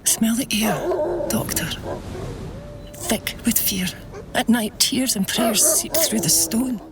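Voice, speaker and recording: A woman's voice: fairly high pitch, animated, takes it slowly, loud music behind the voice. A woman playing a grave, brooding noblewoman in an audio drama, with solemn, poetic delivery. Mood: ominous. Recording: busy crowd and animal sounds behind.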